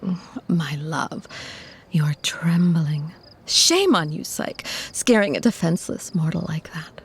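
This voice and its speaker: Actress as tender, protective female vampire for ASMR drama; soft, very husky and breathy, gently creaky, genteel. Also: low voice, animated, slow pace, full character voice. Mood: concerned, mildly scolding. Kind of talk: scripted ASMR audio roleplay.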